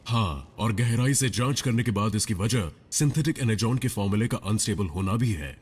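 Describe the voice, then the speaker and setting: A man playing a commanding, calculating robot villain scientist in a cartoon dub, measured and authoritative. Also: lowish voice, expressive, a little mellow, slightly rough, long reverb tail. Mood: self-assured. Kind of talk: dramatic Hindi cartoon dub.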